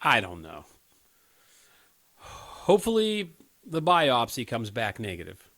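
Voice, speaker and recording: A man's voice: mid-range voice, huge pitch swings, takes it slowly, fairly bright tone, heavily acted. An actor in a radio play, playing an earnest, principled younger man who works on a crisis hotline and speaks with moral sincerity. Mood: wary.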